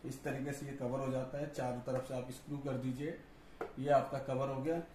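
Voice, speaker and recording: A man's voice: mid-range voice, little pitch movement, normal speed, mellow. Male reviewer, explanatory and informal, recorded in a reverberant room with background noise. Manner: explanatory, repeats "you see" and "I'll show you". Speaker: product reviewer and audio installer. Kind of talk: informal product demonstration to camera. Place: indoor tiled storeroom with boxes.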